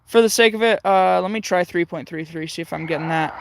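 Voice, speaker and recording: A man's voice: sits high, brisk, gravelly, background noise. A young man hosting a casual livestream, thinking aloud with many hesitant fillers while tuning a radio.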